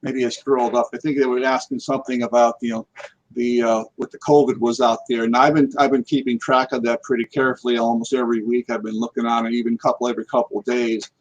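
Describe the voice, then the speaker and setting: A man's voice: medium pitch, flat delivery, quick pace, highs cut off. A man in casual conversation as a guest paranormal investigator on a live talk show, rambling and repeating words as he goes.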